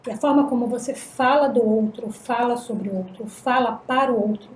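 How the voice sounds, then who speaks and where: A woman's voice: on the high side, fairly quick. A middle-aged woman psychologist with a Brazilian Portuguese accent, speaking thoughtfully on a panel and often ending phrases with "né?". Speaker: psychologist guest on a panel podcast. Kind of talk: reflective panel discussion. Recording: echoey room, faint ambient noise.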